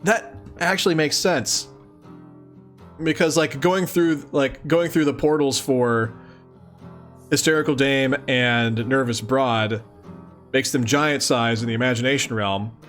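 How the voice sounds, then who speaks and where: A playful, chatty man reading a webcomic aloud and commenting casually on a livestream, often giggly and thinking out loud. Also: mid-range voice, fairly even intonation, unhurried, long pauses, faint music behind. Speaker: streamer.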